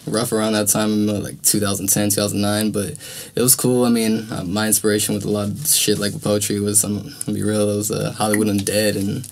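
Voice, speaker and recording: A man's voice: lowish voice, completely flat delivery, fairly quick. A young man from California, a rapper answering interview questions in a loose, laid-back way with plenty of slang. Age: young adult. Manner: laid-back, loose, swears and laughs often. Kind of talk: casual interview.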